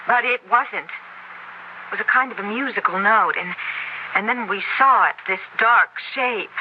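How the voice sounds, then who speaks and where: An actress playing an anxious, insistent young college student in a 1970s radio drama, speaking in a halting, earnest way. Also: female voice, mid-range voice, natural voice. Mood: uneasy. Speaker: actor. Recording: muffled, noisy old radio broadcast.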